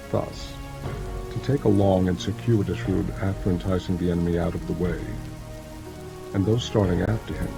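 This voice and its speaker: A man reads as an audiobook narrator in a measured, solemn way, phrase by phrase, in a dramatized scripted style. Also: low-pitched, vocal fry. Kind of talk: dramatized scripted narration of an ancient military treatise. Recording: music and rain behind the voice. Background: background music with falling rain.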